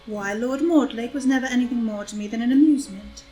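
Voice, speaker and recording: Audio drama, actress as scheming society woman: higher-pitched, witty, cynical, languidly seductive, music underneath. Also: fast talker, mellow, close to an everyday voice. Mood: arch, amused. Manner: languid, arch, epigrammatic delivery. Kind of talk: stage play read as audio drama.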